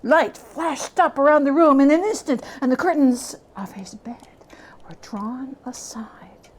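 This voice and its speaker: Woman reading a story slowly and dramatically. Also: high-pitched, expressive. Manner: theatrical, lingering on words, dropping into hushed near-whispers. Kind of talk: dramatic reading of literary narration.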